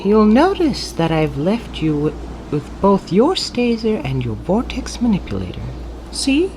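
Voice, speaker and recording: A woman's voice: medium pitch, huge pitch swings, unhurried, warm tone, slight background noise. A woman playing a gloating renegade Time Lord villain in an audio drama, taunting and mock-polite. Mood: smug taunting.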